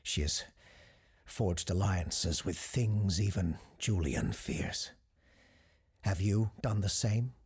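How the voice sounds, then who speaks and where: A man's voice: low-pitched, slow pace, darkish tone, husky, exaggerated voice. An actor playing an earnest, cautious male host of a paranormal radio call-in show in an audio drama. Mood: grave warning.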